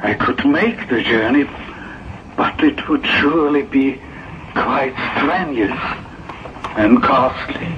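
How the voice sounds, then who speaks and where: A reluctant prophet, played by a man, broods aloud on a dull, noisy old recording. He speaks slowly and theatrically, weighing each thought, in the manner of a biblical radio play. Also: medium pitch, close to an everyday voice. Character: reluctant male prophet reasoning anxiously to himself. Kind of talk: 1960s biblical audio drama acting. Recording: muffled old 1960s tape, clearly noisy.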